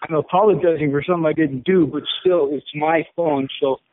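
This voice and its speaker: A man's voice: on the high side, fairly even intonation, brisk, noisy background. A man in his fifties with a Chicago accent, stammering and upset as he talks on a phone call. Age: 50s. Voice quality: stammering, repeats words. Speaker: caller. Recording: tinny phone line.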